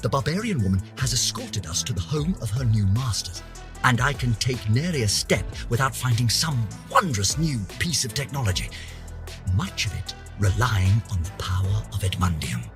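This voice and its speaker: A man's voice: medium pitch, animated, moderate pace, music in the background, natural voice. An actor voicing a pompous, vain older British scientist, theatrically narrating his journal for a game with haughty, florid melodrama. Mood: fascinated. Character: pompous, vain, aging male scientist, indignant and obsessive.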